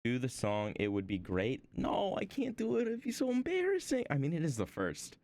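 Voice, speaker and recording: A man streaming from home while he draws, chatting about his desk microphone in a rambling, self-deprecating way, his voice a little hoarse. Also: normal speed, no room sound. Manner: rambling, self-deprecating, lively swings in pitch. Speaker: art streamer. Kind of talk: casual stream chat.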